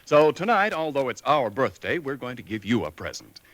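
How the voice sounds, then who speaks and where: A man announcing and reading sponsor copy on a 1940s radio show, polished and smooth. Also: sing-song, moderate pace, a bit bright, no echo. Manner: polished, smooth commercial pitch. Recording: old 1940s radio broadcast.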